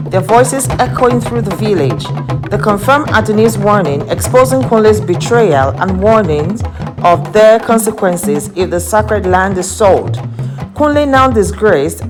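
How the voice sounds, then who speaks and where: A woman narrating a scripted folktale as a storyteller. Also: mid-range voice.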